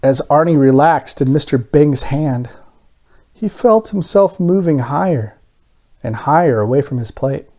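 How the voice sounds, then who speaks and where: A man reads a children's picture book aloud. His voice is mellow and relaxed, with lively, varied intonation that carries the narration expressively. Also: fairly high pitch, measured pace.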